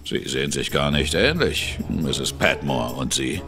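An actor playing a suspicious, gruff male investigator in a radio drama, speaking slowly and distrustfully. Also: rumbling low, expressive, music in the background. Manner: slow, distrustful.

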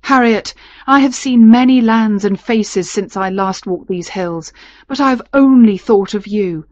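A woman narrator voicing a lovelorn gentleman captain, earnest and romantic, in a storybook. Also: mid-range voice, measured pace, no room sound, highs cut off, close to an everyday voice. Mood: ardent, concerned. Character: ardent, pleading gentleman captain in love.

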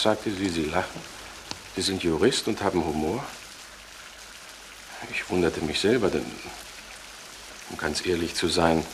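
Deep-voiced, halting, smitten lawyer. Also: male voice. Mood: bemused. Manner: halting, confessional, intense. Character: earnest, infatuated lawyer recounting a romance to police. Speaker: actor in a radio crime drama. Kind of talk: German radio crime drama acting, reflective narration. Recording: noisy archive radio drama recording.

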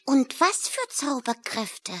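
High, lively young girl. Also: slow pace, dry recording, exaggerated voice. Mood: curious. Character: plucky, friendly young girl adventurer. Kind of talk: children's audio play acting.

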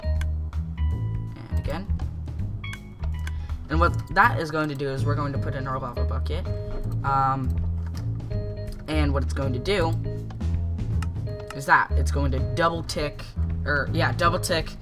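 A man's voice: mid-range voice, lively intonation, measured pace, pauses often, over music. Congested young man explaining a game build. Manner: rambling, self-correcting, many ums. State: congested from a cold. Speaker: game tutorial host. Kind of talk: casual screen-recorded game tutorial.

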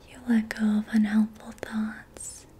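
A young woman whispering breathily in a soothing, gentle ASMR style as she guides an energy healing session. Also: on the high side, monotone, a little slow, breathy edge. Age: young adult. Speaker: ASMR reiki practitioner. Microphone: close mic.

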